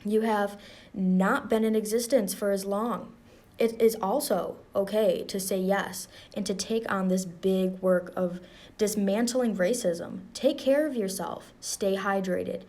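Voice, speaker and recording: A young woman with a mid-range voice reads an uplifting passage aloud for students. Her delivery is calm and encouraging, and she turns quick and chatty when she explains the activity. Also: medium pitch. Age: young adult. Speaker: student reader. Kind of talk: reading a book aloud, then leading a class activity.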